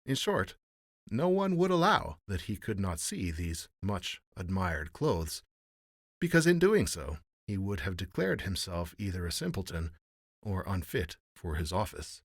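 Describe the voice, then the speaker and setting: Male fairy-tale narrator, lowish voice, theatrical and expressive, close dry recording. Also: unhurried, no echo. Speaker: storyteller.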